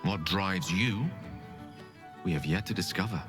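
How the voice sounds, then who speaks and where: Ambitious wizard god, deep voice, intimate, measured, self-assured, seductive, fantasy game, music behind. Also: male voice. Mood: proud, assured. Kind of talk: scripted fantasy game acting. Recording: loud background music.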